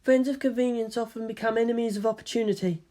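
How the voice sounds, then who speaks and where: Man as a soft, detached teenage girl. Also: very high voice, fast, pitched above the performer's own voice. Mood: cool, flat. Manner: soft, flat, formal. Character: quiet, detached, cryptic teenage girl pilot.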